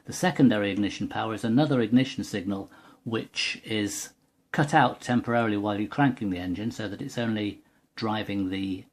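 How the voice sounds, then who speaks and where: A man's voice: on the low side, brisk. A man giving a methodical, precise step-by-step technical demonstration as a tutorial presenter. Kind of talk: step-by-step hands-on product demonstration.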